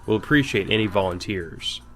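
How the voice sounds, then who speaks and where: A man playing a measured, matter-of-fact army major in an audio drama, curt and blunt. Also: fairly low pitch, soft background music, lightly acted. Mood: formal, restrained.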